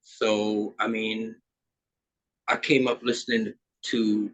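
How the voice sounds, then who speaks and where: A man's voice: lowish voice, little pitch movement, slow, fairly bright tone, low-quality recording. A man in his fifties from Trinidad, a musician guest talking in an easygoing, rambling way with plenty of fillers. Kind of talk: casual interview chat.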